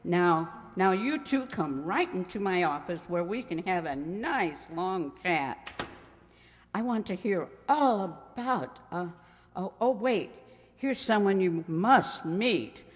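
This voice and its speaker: A woman's voice: on the low side, takes it slowly. Fluttery, gushing librarian, acted by a woman in a hall. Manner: flustered, stammering, excitable. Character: fluttery, gushing spinster college librarian, Miss Twig. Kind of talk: radio play acting. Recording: reverberant hall.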